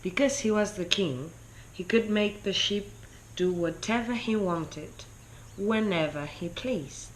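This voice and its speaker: Slow-paced woman reading a children's storybook. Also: mid-range voice, slight background noise, rough recording. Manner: deliberate, expressive storytelling. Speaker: story reader. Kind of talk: children's storybook read-aloud.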